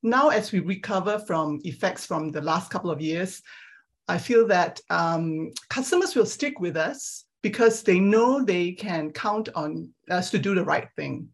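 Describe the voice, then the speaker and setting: A middle-aged woman from Singapore, answering as a founder on a panel in a measured, hesitant, conversational way. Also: fairly low pitch, animated.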